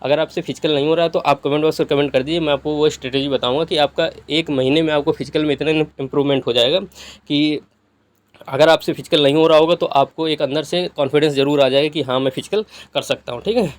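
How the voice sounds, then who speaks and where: A man speaks in a slightly high voice. He explains things step by step in a casual, instructive way, like an online guidance creator addressing viewers. He keeps adding short tags to check that listeners are following. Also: fairly even intonation, fast talker. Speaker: YouTube exam-guidance creator.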